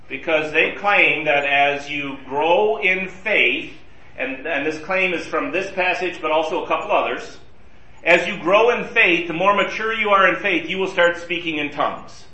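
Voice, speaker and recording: A man's voice: fairly high pitch, normal speed, sharp tone. A man teaching a Bible study class in a conversational way, asking his group questions. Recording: roomy and distant from the mic.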